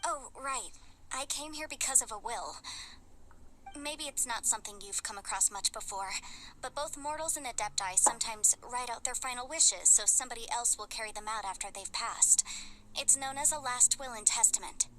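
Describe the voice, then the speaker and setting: Earnest, fairly high young woman traveler. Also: bright, forceful tone, slight background noise, rough recording. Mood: explaining. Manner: measured, inquisitive. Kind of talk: video game voice acting.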